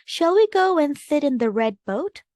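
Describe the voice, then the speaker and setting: A woman voicing a shy little girl in a new home, speaking softly and anxiously. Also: sits high, very animated, normal speed, dry recording, clean studio sound. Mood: curious. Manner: soft, worried, wistful.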